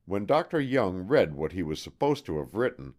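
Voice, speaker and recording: Deep-voiced male narrator with lively intonation. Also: normal speed. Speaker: audiobook narrator. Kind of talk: scripted non-fiction narration.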